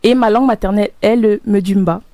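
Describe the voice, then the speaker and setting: An upbeat young woman from Cameroon leads a relaxed panel chat, laughing easily and sometimes searching for the right word. Her mid-range voice sounds close and dry, with no room around it. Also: mid-range voice, quick pace, dry recording. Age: young adult. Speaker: podcast host. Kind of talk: casual panel discussion.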